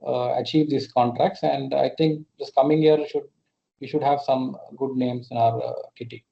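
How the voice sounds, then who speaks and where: A man's voice: medium pitch, steady tone, normal speed, vocal fry, dark tone. A man with an Indian accent answering questions as a company executive on an earnings call, hesitant and often repeating words as he explains. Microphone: conference call connection. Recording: muffled, band-limited conference call audio.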